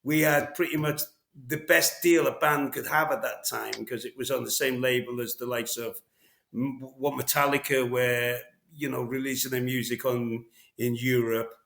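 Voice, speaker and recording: A middle-aged man with a Welsh accent talks through his past in a relaxed interview, looping back with fillers and half-finished restarts. His voice sits at an ordinary middle pitch. The sound carries a noticeable room echo. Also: normal speed, creaky. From Wales. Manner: rambling, frequent fillers and restarts. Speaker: guest, veteran drummer. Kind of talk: casual interview conversation.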